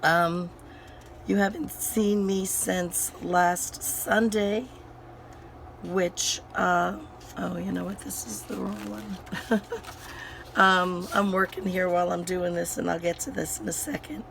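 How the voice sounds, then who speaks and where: A woman hosting a crafting channel and chatting casually while she works. Her voice is hoarse and still recovering, and she rambles with many fillers and restarts. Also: lowish voice, little pitch movement, takes it slowly, fairly bright tone, a little hiss or hum, low-quality recording. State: hoarse, voice recovering from sinus infection.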